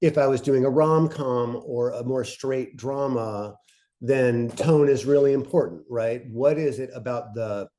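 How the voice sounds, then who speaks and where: Mellow man explaining in an informal talk. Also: medium pitch, measured pace, a little hiss or hum. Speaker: panelist, fiction podcast director.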